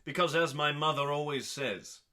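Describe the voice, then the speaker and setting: A man reading scripted comic narration in a mock-dramatic, pompous manner, pausing deliberately for effect. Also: a little high, sharp tone. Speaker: host reading comedic cookbook recipes.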